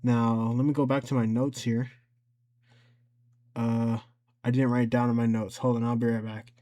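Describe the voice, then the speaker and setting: A man with an average-pitched voice walks viewers through a software tutorial. His delivery is casual and unpolished, and he often talks to himself, thinking aloud while he explains each step. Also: flat delivery, normal speed. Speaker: tutorial creator and presenter.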